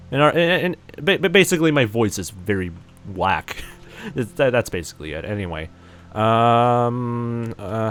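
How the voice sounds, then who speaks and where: Rambling low-voiced male streamer. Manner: rambling, many fillers, self-mocking. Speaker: livestreamer. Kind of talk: casual gaming livestream commentary.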